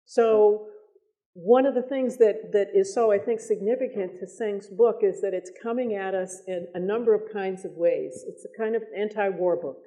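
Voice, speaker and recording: An American woman moderates a public discussion in a soft-edged, mellow voice of medium pitch. She phrases her commentary deliberately and articulately, stressing key points.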